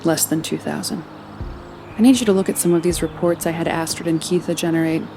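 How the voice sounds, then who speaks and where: A woman's voice: low voice, a little fast, creaky, dark tone, slight background noise. An actress playing a caring, determined woman leader in an audio drama, speaking in a frank, earnest and tender way. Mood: grave, resolute. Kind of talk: naturalistic audio drama acting. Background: music.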